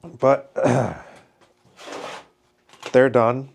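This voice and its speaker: A man's voice: mid-range voice, flat delivery, drawn-out words, gravelly, a little mellow, a little hiss or hum. A congested man with a head cold narrating his car build in a cluttered garage, laid-back and thinking aloud with restarts. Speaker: car builder filming his shop project. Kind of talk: casual how-to narration. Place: cluttered home garage shop.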